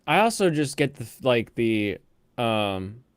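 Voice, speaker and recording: A man casually reviewing anime with friends, rambling and animated, often slipping into mocking quoted voices. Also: mid-range voice, slow pace, clear, resonant tone, dry recording, studio quality. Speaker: host.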